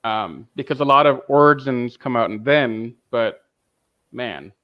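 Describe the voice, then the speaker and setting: A man's voice: medium pitch, expressive, a little slow, muffled recording. A man co-hosting a podcast, giving a fan review in casual conversation. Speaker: podcast co-host.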